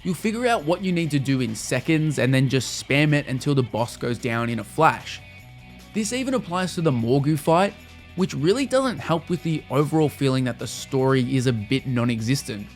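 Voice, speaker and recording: Man, slightly high pitch, scripted review narration, wry, casual, faint music behind. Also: very animated, moderate pace. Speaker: video reviewer. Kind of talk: scripted video essay narration.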